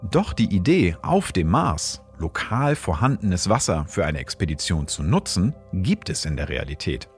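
A man hosting a science podcast, giving measured, explanatory scripted narration. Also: sits low, very animated, faint music behind. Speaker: host and astrophysicist.

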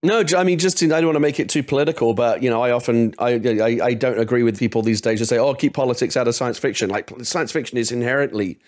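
Fast-talking, emphatic male podcast guest. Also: mid-range voice. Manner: emphatic, stammering restarts when excited. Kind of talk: opinionated casual discussion.

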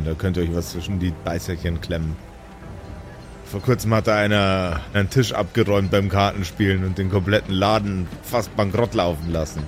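A man acting as game master in an improvised pen-and-paper audio play, narrating casually and joking with puns and slang. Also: fairly low pitch. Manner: jokey, punning, slangy.

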